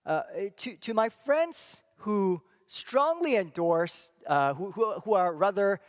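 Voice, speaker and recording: A middle-aged man from Singapore giving a church talk, thoughtful and halting, with many fillers and restarts. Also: sits high, lively intonation. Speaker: church speaker and psychology professor. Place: church hall. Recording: long reverb of a hall.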